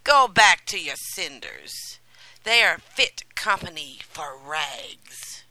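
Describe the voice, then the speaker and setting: A woman's voice: very high voice, slow, bright, forceful tone, pitched above the performer's own voice, exaggerated voice, low-quality recording. A woman voicing the rude, proud stepsisters, jeering and scornful in a storybook reading. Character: mocking, rude proud stepsisters, young women.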